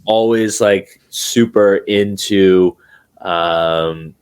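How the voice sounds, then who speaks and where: A man's voice: low-pitched, very slow, clean tone. A young man from New Hampshire, a drummer being interviewed over a video call, talking casually with many ums and restarts. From New Hampshire, USA. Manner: relaxed, hesitant with many fillers and restarts. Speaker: guest drummer. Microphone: remote video call.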